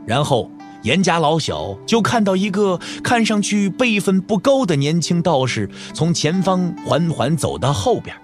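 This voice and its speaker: A man narrating an audio drama from a script in an expressive storytelling manner. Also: high voice, unhurried, hardly any pauses, faint music behind.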